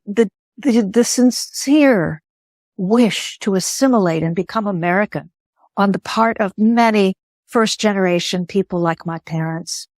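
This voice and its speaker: An older woman whose first language was Greek, speaking in an interview in a thoughtful, measured way and pausing to rephrase. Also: medium pitch, a little mellow, no echo, studio quality. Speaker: guest. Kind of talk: interview conversation.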